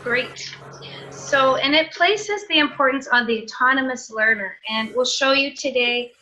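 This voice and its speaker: A woman's voice: sits high, unhurried, sharp tone, slight background noise, rough recording. A Canadian woman presenting a teacher seminar over a video call, explaining in a friendly way with some fillers. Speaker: teacher trainer.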